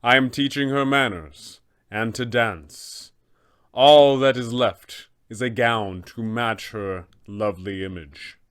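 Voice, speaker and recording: A man voicing a serene but sinister abbot in a fantasy tabletop game, speaking in a measured, formal, solemn manner. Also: medium pitch, steady tone, a bit bright, smooth. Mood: serene, coaxing. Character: serene, sinister male abbot, secretly an angel. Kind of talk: fantasy tabletop roleplay villain dialogue.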